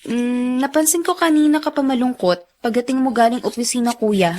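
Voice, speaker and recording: A woman's voice: fairly high pitch, brisk, a little mellow, natural voice. A woman with a Filipino accent acting in a radio drama as an attentive, inquisitive younger sister. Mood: concerned.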